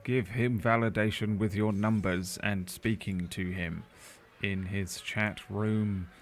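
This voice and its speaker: A man's voice: fairly low pitch, steady tone, slow pace. A man hosting the end of a group game stream in casual chat. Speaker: show host and player. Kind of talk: casual chat, sweary sign-off.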